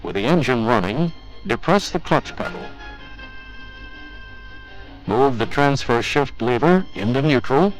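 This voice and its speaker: A man's voice: mid-range voice, expressive, a little slow, long pauses, poor audio. A man reading scripted instructional narration for a training film in a formal, methodical, step-by-step manner. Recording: 1980s training film soundtrack with music.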